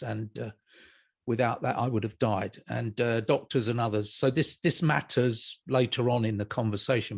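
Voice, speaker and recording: An older man speaks as an interview guest, his voice creaky with plenty of fry. He explains things in a reflective, conversational way, taking his time over each thought. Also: medium pitch.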